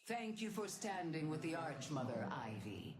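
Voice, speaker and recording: Low, regal, echoing archmother. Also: big pitch swings, normal speed. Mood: solemn, grateful. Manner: solemn, stately, declamatory. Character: regal archmother commander bestowing stately praise on followers. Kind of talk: video game voice lines.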